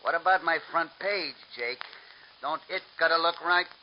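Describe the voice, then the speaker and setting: Guy doing a grumpy doubtful editor, kinda high voice, muffled old radio. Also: moderate pace, slight background noise, natural voice. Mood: gruff. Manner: gruff, doubtful. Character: skeptical older male newspaper editor. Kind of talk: 1940s radio acting. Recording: dull lo-fi old radio broadcast.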